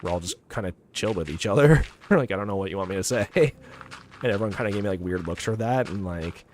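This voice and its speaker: A young man streaming a game, his voice a little raspy today, rambling casually with lots of filler words. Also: lowish voice, expressive, fast talker, darkish tone, slight background noise. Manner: rambling, heavy use of "like" fillers. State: slightly raspy voice. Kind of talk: casual chat over gameplay.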